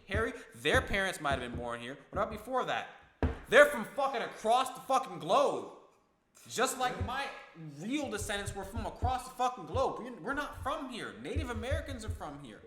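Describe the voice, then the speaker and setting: A man's voice: high-pitched, fairly bright tone, echoey room, slight background noise. A man from Ithaca, New York, co-hosting a casual discussion podcast, talking emphatically and swearing freely when worked up. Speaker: podcast co-host.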